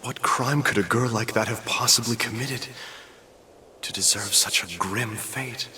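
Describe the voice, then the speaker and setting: A grieving, reflective man in a game cutscene. His voice is low and clear-edged, speaking in solemn, measured lines over faint ambient noise. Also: sharp tone. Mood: mournful. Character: grieving, reflective man mourning a young girl. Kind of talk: dramatic video game cutscene monologue.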